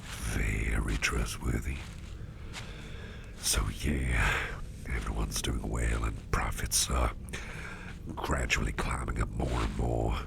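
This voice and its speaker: A man voicing a mischievous boss with sharp teeth in an ASMR roleplay, talking in a soft, teasing half-whisper. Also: very deep voice, flat delivery, takes it slowly, gravelly, long pauses, noisy background, heavily acted. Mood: confiding.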